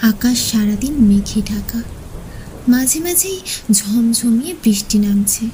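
A woman reading a scripted horror story as narrator, expressive and suspenseful, sometimes letting phrases fall to a hush. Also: fairly high pitch, a little hiss or hum, poor audio. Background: background music.

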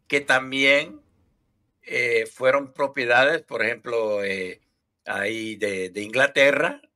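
A man in his sixties from Puerto Rico, with a Puerto Rican accent, explaining things haltingly as a guest on a video call. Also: lowish voice, bright, forceful tone. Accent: Puerto Rican Spanish. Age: 60s. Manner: halting, repeats words while explaining. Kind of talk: casual interview answers. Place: home room, indoors. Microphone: headset mic on video call.

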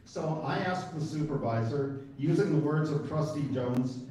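A man's voice: on the high side, normal speed, mellow. An American man delivering a prepared, formal and pointed public comment at a meeting. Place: large indoor meeting room, from far off. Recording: echoey meeting hall, distant, crowd noise.

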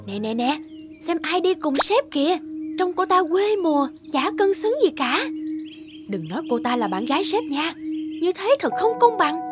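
A haughty cartoon office worker, jealous and full of scorn. The voice is high and sneering, with music faintly behind it. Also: female voice, big pitch swings, moderate pace. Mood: catty. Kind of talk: animated drama dubbing. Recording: music under the voice.

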